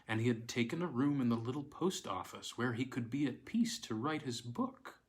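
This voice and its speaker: A man reading a classic weird tale aloud in a measured, expressive storytelling manner. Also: mid-range voice. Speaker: reader of classic weird tales.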